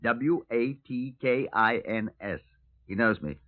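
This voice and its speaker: An actor playing a brisk, wry British Scotland Yard superintendent in a 1950s radio crime drama, authoritative and dry. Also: male voice, medium pitch, natural voice. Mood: brisk, urgent. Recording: tinny, noisy old radio recording.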